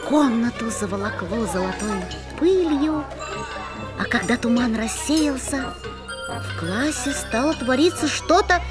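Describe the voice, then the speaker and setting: Cast: a curious young boy narrator for a storybook play, played by a woman; high-pitched. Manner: earnest storytelling. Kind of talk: musical fairy-tale audio play narration.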